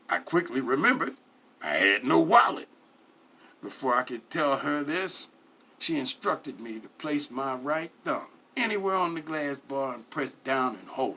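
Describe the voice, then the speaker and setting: A man reading a first-person story aloud in an animated, expressive way, with emphatic swells and hushed drops. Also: mid-range voice, slightly rough. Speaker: story reader.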